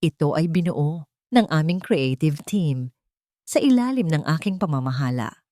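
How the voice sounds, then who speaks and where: A woman's voice: sits low, lively intonation, measured pace, dark tone, no echo. Lady doing a hesitant guy testifying in a story drama, formal, weak willed, dry recording. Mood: reluctant. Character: weak-willed, reluctant man testifying for his family. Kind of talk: courtroom drama in narrated story.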